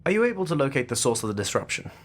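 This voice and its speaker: A woman playing a brisk, commanding, easily exasperated supernatural investigator with formal speech in an audio drama. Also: a bass voice, sing-song, heavily acted. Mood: businesslike, probing.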